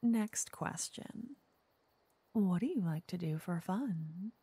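Breathy, flirty witch, voiced by a woman. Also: lowish voice, expressive, slow, no echo, exaggerated voice. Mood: flirty, teasing. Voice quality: soft, breathy, often whispering. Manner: flirtatious, coaxing, intimate. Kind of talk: ASMR roleplay. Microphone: close microphone.

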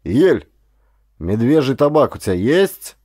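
An easygoing Cossack frontier sergeant, acted out by a male reader, rough-hewn and folksy. His colloquial speech has lively pitch, in adventure audiobook style. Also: a little slow, no room sound, clean studio sound. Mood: tipsy, resigned.

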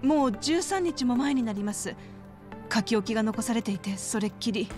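An actress voicing a polite, composed middle-aged orphanage worker with a worried, formal way of speaking in a video game cutscene. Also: female voice, fairly high pitch, animated, normal speed, over music, natural voice.

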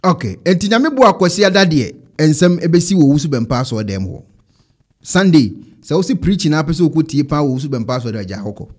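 A man's voice: fairly high pitch, sing-song, fast talker. A Ghanaian man in his thirties hosting a casual online reaction show, speaking into a close studio microphone. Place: indoor studio setup. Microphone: close desk microphone.

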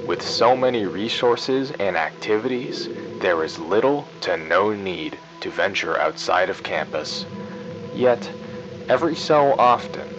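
A man playing a stiff, ominous school principal reading a formal, measured announcement in a retro public service style. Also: fairly low pitch. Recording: dull lo-fi 1990s tape broadcast. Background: music.

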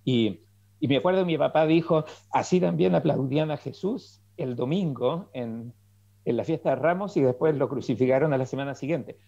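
A man's voice: medium pitch, moderate pace, gravelly, dark tone, no room sound. Middle-aged man, reflective guest; dull, dry call audio. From Chile. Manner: reflective storytelling with many fillers and restarts. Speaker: political scientist guest. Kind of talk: interview over video call. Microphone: video call headset.

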